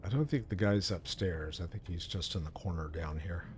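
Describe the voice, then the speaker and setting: Low-voiced man casually narrating his gameplay. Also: moderate pace, background noise. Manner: rambling, thinking aloud. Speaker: livestream host playing a video game.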